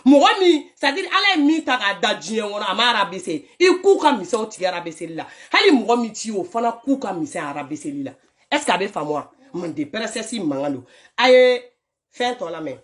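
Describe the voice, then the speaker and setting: A woman commenting casually on voice notes for her followers, animated and scolding, often laughing as she talks. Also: mid-range voice, normal speed. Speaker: social media commentator.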